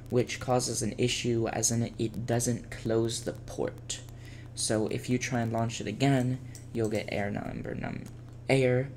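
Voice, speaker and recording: A man presenting a casual step-by-step software tutorial as a screencast voice-over. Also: medium pitch, vocal fry. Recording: faint background noise and keyboard typing.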